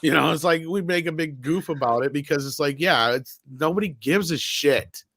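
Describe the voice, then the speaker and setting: A man's voice: fairly high pitch, moderate pace, fairly bright tone, no room sound. Casual man hosting a panel, dry sound. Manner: informal, rambling, swears. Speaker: panel show host.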